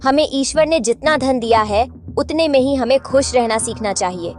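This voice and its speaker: A woman's voice: sits high, moderate pace, over music, close to an everyday voice. A woman voicing an anxious, principled farmer's wife in a cartoon moral story, earnest and reproving.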